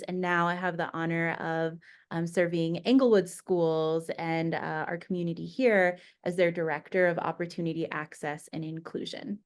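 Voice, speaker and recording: Woman from bangladesh, 30s, lowish smooth voice, earnest guest intro w lots of ums. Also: darkish tone. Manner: earnest, many fillers. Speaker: school district director, guest. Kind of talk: casual self-introduction.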